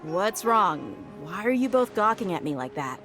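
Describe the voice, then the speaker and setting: A woman's voice: medium pitch, sing-song, normal speed. A woman voicing a young, self-assured mercenary swordfighter in an anime video game, direct and protective. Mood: taken aback. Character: confident, protective young female mercenary swordfighter, brisk and frank. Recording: background music behind the voice.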